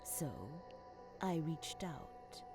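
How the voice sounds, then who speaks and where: An actress playing a solemn fallen believer in a dark audio drama, speaking in a measured, grave way. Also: female voice, low voice, over music. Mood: grave, haunted. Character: solemn fallen believer recalling her girlhood faith.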